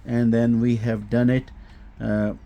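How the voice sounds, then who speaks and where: Man explaining gear in a halting, mellow voice. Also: mid-range voice, little pitch movement. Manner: halting, repetitive explaining with frequent hesitations. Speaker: host demonstrating audio equipment. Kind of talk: unscripted technical product demonstration.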